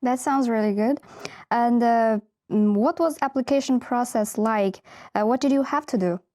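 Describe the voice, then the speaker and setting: Mellow-voiced woman host. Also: fairly high pitch, moderate pace. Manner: polite, welcoming, hesitant fillers. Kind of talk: moderating an info session.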